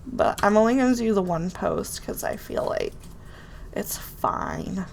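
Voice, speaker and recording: A woman's voice: medium pitch, huge pitch swings, a little slow, vocal fry, a little hiss or hum. A woman with a nasal, congested voice from a cold, chatting casually as she builds in a game and talks to herself. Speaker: Sims building video creator. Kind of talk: casual real-time build commentary.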